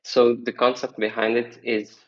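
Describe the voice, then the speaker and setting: Portuguese guy on a video call, calm explaining, monotone, muffled lo-fi sound. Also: mid-range voice, moderate pace. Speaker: panel guest. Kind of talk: casual explanation.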